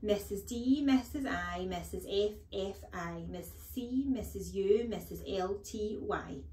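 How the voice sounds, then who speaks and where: A woman's voice: medium pitch, unhurried, dark tone, lightly acted. A plucky little schoolboy played by a woman reader pipes up eagerly in a roomy acoustic. He is polite yet cheeky, a brave small child from a storybook. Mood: chirpy. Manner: piping, eager.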